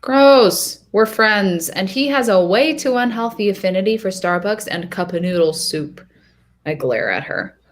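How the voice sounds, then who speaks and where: A woman narrating a short story in the first person as a lovesick, insecure young woman, earnest and expressive. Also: mid-range voice, unhurried, close to an everyday voice. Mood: indignant.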